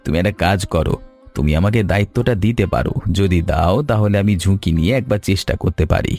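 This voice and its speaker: A man's voice: low-pitched, quick pace, a little mellow, soft background music. A man playing an ailing, helpless but courteous stranger in a cartoon story, speaking modestly. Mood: modest, helpful.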